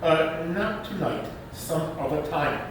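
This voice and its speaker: Man playing reluctant fussy uncle, formal, hesitant, higher-pitched, very echoey stage reading. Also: slow pace. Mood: nervous, reluctant. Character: timid, reluctant, proper uncle who dreads babies. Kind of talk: stage readers' theater acting. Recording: roomy, distant microphone with rumbling noise.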